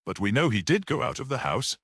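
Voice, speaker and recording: A man's voice: mid-range voice, sing-song, brisk, a bit bright, slightly rough, no echo, full character voice. A man voicing a practical, sceptical police detective in an audiobook, with a challenging, questioning manner. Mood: puzzled. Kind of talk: dramatic audiobook character reading.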